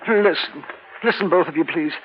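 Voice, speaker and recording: Man playing a high-pitched, flustered swindler on old radio. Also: normal speed, lightly acted. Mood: panicked, pleading. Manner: flustered, pleading. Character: nervous, cornered art swindler, a male crook. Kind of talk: 1950s radio drama acting. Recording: tinny, hissy old radio broadcast.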